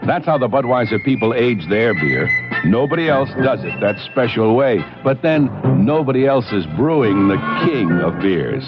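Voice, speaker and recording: A man's voice: medium pitch, expressive, normal speed. A man reading a scripted radio commercial as an announcer. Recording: dull old radio broadcast recording. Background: loud music.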